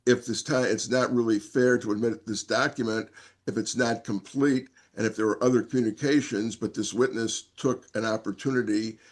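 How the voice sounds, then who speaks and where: Man, defense counsel, average-pitched, wordy and halting courtroom questioner. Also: steady tone. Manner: halting, repetitive, wordy phrasing. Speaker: defense attorney. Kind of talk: courtroom cross-questioning and argument.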